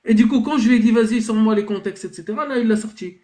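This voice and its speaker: A man's voice: high voice, quick pace, a little mellow. A man hosting a live online debate, talking in a hesitant, reassuring way with lots of restarts and fillers. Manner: reassuring and conciliatory.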